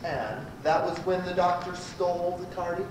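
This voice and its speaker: A man's voice: sits high, moderate pace, natural voice. A man playing a blustering prosecuting lawyer in an amateur old-time radio comedy. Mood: flustered, indignant. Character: blustering male prosecuting lawyer in a sci-fi courtroom spoof. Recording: echoey distant room, noisy amateur tape.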